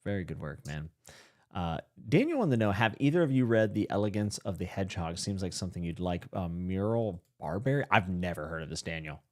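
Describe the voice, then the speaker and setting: A man's voice: low voice, lively intonation, fairly quick, a little mellow. A man hosting a casual livestream podcast, rambling and self-deprecating, laughing often. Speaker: podcast host.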